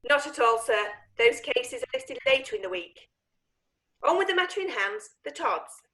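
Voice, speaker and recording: A woman as a high, animated courtroom lawyer. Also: normal speed, poor audio. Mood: earnest, businesslike. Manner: formal, emphatic. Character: brisk, earnest female courtroom lawyer. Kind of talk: courtroom stage play acting.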